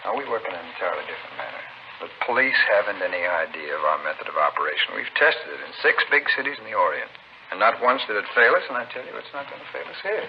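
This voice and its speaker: Smooth male racketeer, vintage radio drama; low-pitched, rapid, polite, relentlessly persuasive. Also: animated. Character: smooth, insistent male narcotics dealer courting a contact. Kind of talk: 1950s radio crime drama acting. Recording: noisy muffled old radio recording.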